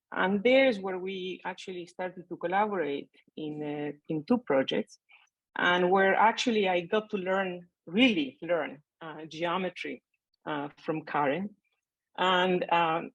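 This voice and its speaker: Middle-aged woman, lowish voice, dry sound, chatty and halting, joining a panel by video call. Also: dry recording, poor audio. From Argentina. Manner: conversational, hesitant with many fillers. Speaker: panelist, mathematician. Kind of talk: remote panel remarks.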